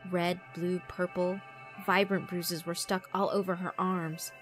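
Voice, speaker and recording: A woman's voice: lowish voice, little pitch movement, measured pace, over music. A woman narrator reading a horror visual novel as dramatic scripted storytelling.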